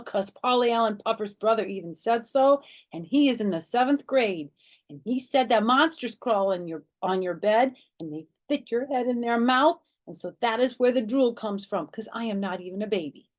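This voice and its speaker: A woman plays a stubborn, excitable little kindergarten girl from a children's storybook. The voice jumps up high and gets emphatic and insistent, full of childish grammar. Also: moderate pace.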